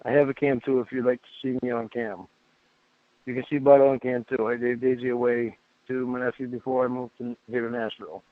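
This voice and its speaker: A man's voice: mid-range voice, little pitch movement, brisk, no room sound. A man reading a chat exchange aloud and adding his own asides, heard through narrow, tinny playback.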